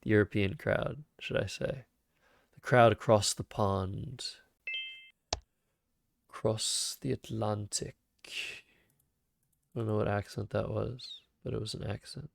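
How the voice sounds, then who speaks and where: A man with a calm, soothing voice that drops into gravelly vocal fry, unhurried and deliberate as he talks through his plays. His voice sits fairly low, and the sound is dry and close, picked up by a cheap microphone. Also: a little hiss or hum. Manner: thinks aloud over moves, soft-spoken and unhurried. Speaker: Scrabble streamer. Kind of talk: live-streamed game commentary.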